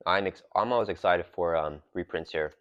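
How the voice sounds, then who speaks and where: A man with a very low voice talks loosely through an unboxing, full of "uh" and "um". His throat is sore and he has a cough drop in his mouth. The recording is dry and slightly dull. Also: moderate pace, no echo. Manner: relaxed, rambling, many fillers. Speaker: channel host. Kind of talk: casual unboxing and card game talk.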